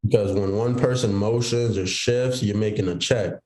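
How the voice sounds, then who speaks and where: A man's voice: fairly low pitch, fairly even intonation, moderate pace, a little mellow, roomy sound, poor audio. A young man born in Haiti, a football player guest on a podcast, telling his story in an easygoing way. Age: young adult. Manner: easygoing, storytelling with quoted inner thoughts. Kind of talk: casual interview chat.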